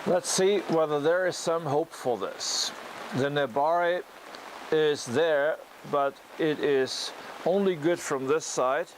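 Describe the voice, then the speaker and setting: A man who speaks as a gives an unscripted critique as a teacher, thinking aloud haltingly with a whimsical storytelling streak. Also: a little high. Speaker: bonsai teacher giving tree critiques. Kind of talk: unscripted spoken critique and demonstration. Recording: noisy ambient location recording.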